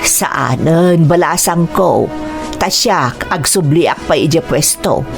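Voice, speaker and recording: A woman's voice: low-pitched, slow, vocal fry, over music, exaggerated voice. A woman playing a humble, kindly middle-aged mother in an Ilocano radio drama, with an Ilocano accent. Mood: kindly, proud.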